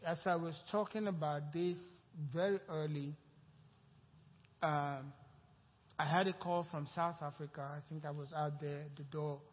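A man's voice: on the high side, fairly even intonation, measured pace. A man with a Nigerian accent, speaking as a pastor to his congregation in a rambling, conversational way. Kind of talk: informal sermon announcement. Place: church sanctuary. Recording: muffled church hall sound with long reverb.

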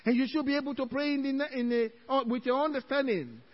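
A Nigerian-accented male pastor with a notably high voice preaches to his church, quoting scripture emphatically and breaking into chuckling asides. The recording is dull and lo-fi. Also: steady tone, fast. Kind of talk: evening church sermon. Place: church sanctuary.

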